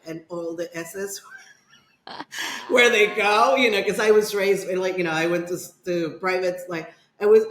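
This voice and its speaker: Middle-aged woman guest, rambling story, roomy echo. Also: fairly low pitch. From Dominican Republic. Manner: rambling, many fillers and restarts. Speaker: podcast guest. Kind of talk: casual personal storytelling. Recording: echoey room.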